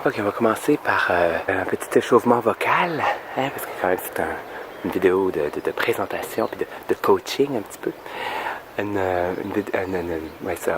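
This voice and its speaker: A man from Canada putting on a European French accent with some Québécois turns, speaking as a singer and vocal coach in a casual, humorous vlog, mock-earnest and halting as he drifts into asides. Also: deep voice, background noise. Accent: French, deliberately European French with some Québécois turns.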